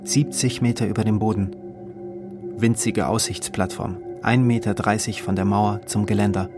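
A man's voice: on the low side, fairly even intonation, moderate pace, over music. A man reading a literary travel diary as scripted narration, in clipped, terse phrases.

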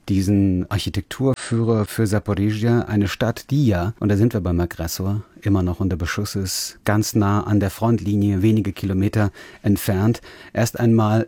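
Low, mellow-voiced male radio host. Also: no room sound. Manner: calm, measured, speaks German and Russian. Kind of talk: radio interview with simultaneous interpreting.

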